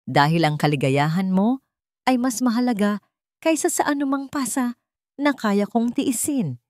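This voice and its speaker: A woman's voice: fairly high pitch, lively intonation, measured pace, darkish tone, no room sound, pitched above the performer's own voice. Woman voicing a tender, self-sacrificing mother.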